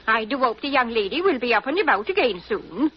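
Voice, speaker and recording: A woman's voice: a little high, sing-song, fairly quick. A woman playing a gossipy, fussy British village postmistress in a radio drama. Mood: cheery. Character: chatty, nosy English village postmistress. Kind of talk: old-time radio drama acting. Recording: dull, faintly noisy old radio recording.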